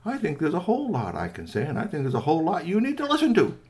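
A man playing a towering troll in a told fairy tale, speaking slow and firm. He is stern and commanding. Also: on the high side, wildly swooping pitch, darkish tone, pitched above the performer's own voice.

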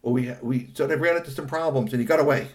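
A man's voice: medium pitch, quick pace, pitched above the performer's own voice, natural voice. A man voicing a nervous young man in a told story, who stammers apologies.

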